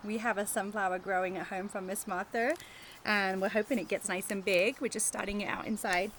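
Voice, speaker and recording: A woman teacher doing an animated read-aloud of a picture book for young children, chatting and asking them questions as she goes. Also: mid-range voice, brisk, a little hiss or hum. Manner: engaging the children with questions and tag questions.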